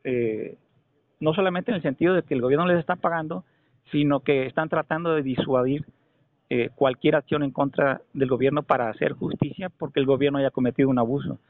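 A Mexican man making a call to argue a point, speaking insistently and haltingly, often repeating phrases for emphasis. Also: on the high side, fairly even intonation, dry recording. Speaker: caller. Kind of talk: phone complaint, argumentative questioning. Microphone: telephone. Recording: narrow, tinny telephone call recording.

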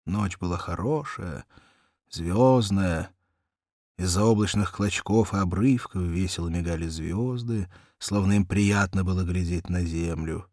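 A man reading literary narration for an audiobook in a measured storytelling manner. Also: low voice, a little mellow, no room sound, studio quality.

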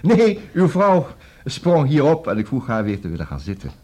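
An actor with a Netherlands Dutch accent playing a busy, wry, smooth-talking divorce lawyer in a formal, fussy manner for a 1960s radio comedy. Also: male voice, medium pitch, huge pitch swings, normal speed, warm tone. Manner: formal, fussy, hesitant interjections and repeated words.

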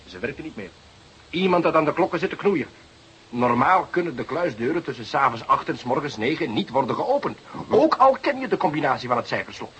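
A man's voice: on the high side, sing-song, brisk, fairly bright tone, a little hiss or hum, low-quality recording. A man acting an eager, exasperated young police detective in a radio play, theatrical, sarcastic and emphatic. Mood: explaining.

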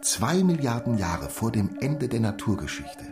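A man playing a learned, patient and pedantic teacher in a German radio drama, lecturing with deliberate, professorial emphasis. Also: medium pitch, sing-song, over music. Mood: earnest lecturing.

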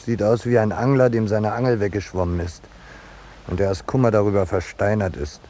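An actor playing a peaceable, unworldly landscape painter in a 1950s German radio play, drily polite and unruffled with a quiet irony. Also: male voice, on the low side, steady tone, lightly acted. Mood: politely ironic. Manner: dry, polite, unhurried irony. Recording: noisy 1950s radio drama recording.

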